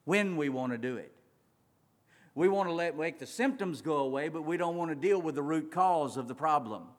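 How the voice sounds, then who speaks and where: A man preaching a sermon, swinging between loud urgent passages and quiet slow ones, drawing out words for emphasis. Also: medium pitch. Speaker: pastor. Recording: long reverb of a large hall.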